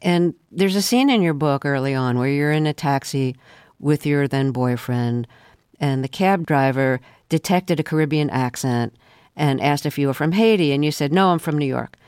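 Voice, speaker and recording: Woman host asking questions, calm and probing, dry studio sound. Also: low voice, moderate pace, clean tone, dry recording. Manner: calm, probing, with frequent um fillers. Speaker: radio interview host. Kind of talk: interview questions.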